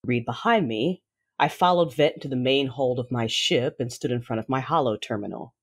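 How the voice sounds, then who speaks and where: A woman playing a fierce, grieving warrior mother who narrates her own story in a measured, dramatic audio drama style. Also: extremely low pitch, slightly rough, heavily acted. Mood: solemn, controlled. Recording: voice over a music bed.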